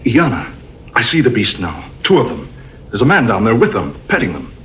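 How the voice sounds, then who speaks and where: Earnest adventurer, very animated delivery. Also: male voice, fairly low pitch, normal speed. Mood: hushed awe. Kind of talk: 1940s radio adventure acting. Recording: muffled, hissy 1940s radio recording.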